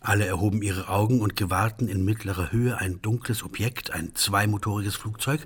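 An actor playing an earnest railway engineer and mediator in a radio play, with a husky voice and courteous, long-winded official phrasing. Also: male voice, on the low side, fairly even intonation, brisk. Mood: grave, recounting.

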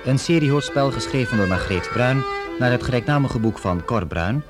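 A man's voice: medium pitch, expressive, moderate pace. A man announcing a radio drama serial in a formal, scripted style. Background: loud orchestral music with strings and winds.

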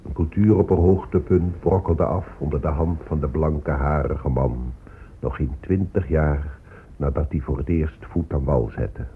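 An actor playing a proud, grave Inca emperor and chronicler in a radio drama, speaking in a stately manner. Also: male voice, extremely low pitch, unhurried, slight background noise. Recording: muffled phone-like old radio play.

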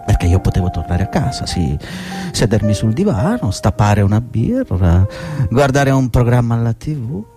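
An actor playing a bitter, desperate older employee who has been fired, in a theatrical radio drama, husky and agitated, swinging from muttering to outbursts. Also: male voice, medium pitch, very animated, moderate pace, over music.

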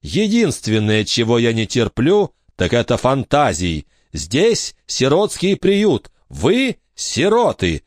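A man's voice: mid-range voice, huge pitch swings, slow pace, few pauses, dry recording, voice pushed up. A haughty middle-aged lady who lectures in a stern, fussy tone, as a male storyteller puts her on. Her voice sits well above a man's natural register and swings widely. The audiobook recording is dry and close. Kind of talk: audiobook character voice.

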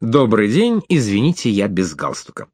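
A man playing a calm wry man. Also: lowish voice, normal speed, slightly rough, no room sound, clean studio sound, heavily acted. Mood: reassuring.

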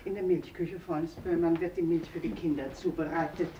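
A woman's voice: on the low side, fairly even intonation, brisk, lo-fi sound, crowd noise, lightly acted. A woman acting a dutiful, respectful hospital head nurse in a German radio play.

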